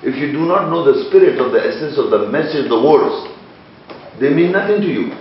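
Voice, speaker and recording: A man's voice: on the high side, expressive, a little fast, a little hiss or hum. A man explaining scripture to a questioner in an earnest, insistent way, repeating his points. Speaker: Islamic teacher. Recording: echoey, distant, dull recording.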